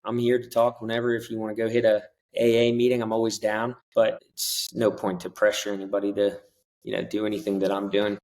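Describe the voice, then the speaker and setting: Male guest with a level, unvaried voice and a relaxed, casual way of telling stories. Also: lowish voice, fairly quick. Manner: laid-back storytelling, flat delivery. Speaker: podcast guest. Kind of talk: casual interview conversation.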